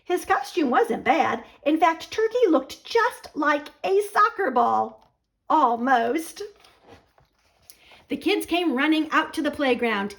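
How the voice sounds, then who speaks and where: High-voiced, animated woman reading a picture book. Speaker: children's librarian storyteller.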